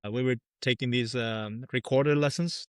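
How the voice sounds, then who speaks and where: A man from Peru whose first language is Spanish, a guest drummer speaking in an easygoing, soft-spoken way and searching now and then for English words. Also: mid-range voice, fairly even intonation, moderate pace, clear, resonant tone, dry recording, studio quality. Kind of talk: casual podcast interview.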